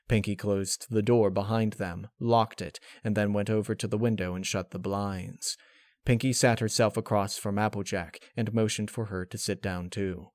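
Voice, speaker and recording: A man's voice: lowish voice, a little mellow, no echo, studio quality. A man reading a story aloud as scripted, dramatic narration.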